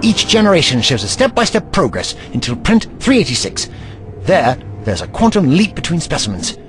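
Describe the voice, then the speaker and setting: Casting: male actor as intense eccentric hero for audio drama, hugely dynamic intonation, emphatic and grave, over clear music. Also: on the high side, huge pitch swings, moderate pace, a bit bright. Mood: urgent, probing.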